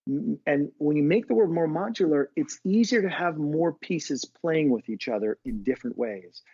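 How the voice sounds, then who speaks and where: A man's voice: fairly high pitch, animated, normal speed, dark tone, breathy edge, no echo, muffled recording. An American man, a business school professor, talking through ideas in a casual podcast interview with frequent restarts. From United States. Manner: thoughtful, restarts often, many fillers.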